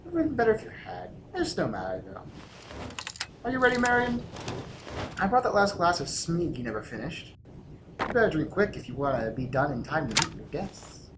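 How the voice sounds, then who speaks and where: A man's voice: on the high side, huge pitch swings, a little fast, background noise. A man acting as a hard-bitten, resolute revolutionary general in an audio drama, urgent and commanding. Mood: commanding, insistent.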